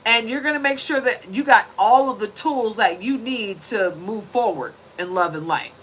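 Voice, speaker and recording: A woman in her forties talks her way through a tarot spread with a sharp, bright tone and a voice pitched a little high. She is chatty and preachy and keeps checking in with her viewers with little tag questions. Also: normal speed, a little hiss or hum, low-quality recording. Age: 40s. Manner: shuffles and lays out cards while talking. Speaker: tarot reader. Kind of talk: casual tarot reading to camera.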